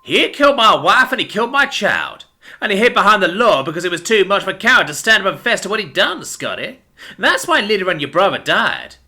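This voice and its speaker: A man's voice: high-pitched, sing-song, a little fast, sharp tone, voice pushed up, full character voice. A man voicing a crazed, vengeful father mouse in a story, ranting in a righteous, theatrical way. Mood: bitter. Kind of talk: dramatic storybook character voice.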